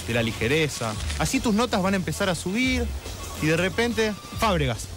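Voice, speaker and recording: A man's voice: high voice, very animated, normal speed. A man with a Buenos Aires accent playing a capricious, bossy newspaper editor in a comic radio sketch. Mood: bossy, dismissive. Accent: Argentine, Buenos Aires. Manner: domineering, interrupting, repetitive. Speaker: radio show host. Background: music.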